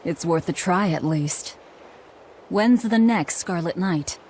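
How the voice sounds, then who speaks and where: A woman's voice: fairly low pitch, takes it slowly, darkish tone, slight background noise. A woman playing a grim, determined young antihero in a fantasy video game, speaking bluntly. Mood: grim determination. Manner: blunt, terse.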